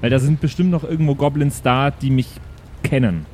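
A man's voice: mid-range voice, fairly quick, darkish tone, slight background noise. A man chatting casually as a player in an improvised tabletop game.

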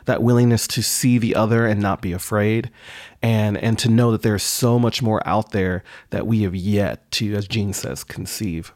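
A man's voice: lowish voice, steady tone, normal speed, a little mellow, dry recording, studio quality. A man speaking as a podcast guest in casual conversation.

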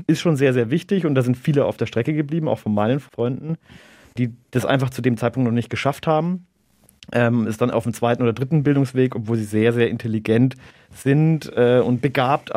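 A man's voice: mid-range voice, a little mellow, no echo. Thoughtful man in his thirties, dry sound. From Germany. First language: Arabic. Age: 30s. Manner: measured, reflective, chuckles now and then. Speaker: interview guest, local politician. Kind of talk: interview answers.

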